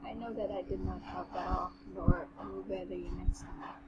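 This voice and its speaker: A woman acts out a sad, humble young girl near death, soft and halting with a sigh in her delivery. It is an amateur audio drama reading with very loud background noise. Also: lowish voice, fairly even intonation, lo-fi sound, lightly acted. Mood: sad resignation. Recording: clearly noisy room recording.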